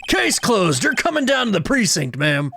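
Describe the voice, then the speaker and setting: A man's voice: sits high, wildly swooping pitch, normal speed, creaky, sharp tone, slight background noise, pitched above the performer's own voice, heavily acted. A man voicing a bumbling, brash male police detective who blusters and tacks "see?" onto his lines. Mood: triumphant.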